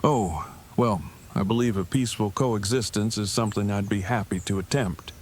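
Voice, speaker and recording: A man's voice: lowish voice, normal speed, darkish tone, a little hiss or hum, natural voice. A man playing an awkward, timid, bookish man in an audio drama, with stiff, wordy, formal delivery. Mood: hesitant, apologetic.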